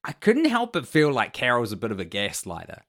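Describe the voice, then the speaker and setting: A man's voice: mid-range voice, huge pitch swings, a little fast, fairly bright tone. A man with a New Zealand accent, chatting casually as a podcast guest and giving opinions in a wry, mock-earnest way.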